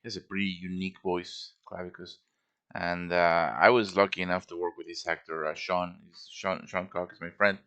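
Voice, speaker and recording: A man's voice: low-pitched, level tone, moderate pace, a bit bright, clean tone. A man giving a casual show-and-tell tutorial as a game modder, informal and thinking aloud as he works.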